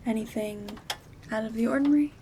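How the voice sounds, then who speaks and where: A gentle witch herbalist, played by a woman, murmurs and whispers as if to a dear visitor in an ASMR roleplay. The voice is slightly high yet soft and dark-edged, and very unhurried. Faint rain and handling noise sit behind it. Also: close to an everyday voice. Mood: gentle, reassuring. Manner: soothing, unhurried, intimate. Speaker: ASMR performer. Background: rain sounds, craft rustling.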